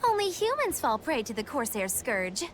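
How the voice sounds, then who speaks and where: A woman's voice: fairly high pitch, wildly swooping pitch, normal speed, soft background music. An actress voicing a whiny, theatrical witch in a video game, with a melodramatic, grandiose way of talking. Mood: ominous. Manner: melodramatic, grandiose old-fashioned phrasing. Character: theatrical, whiny witch who dramatizes her own doom.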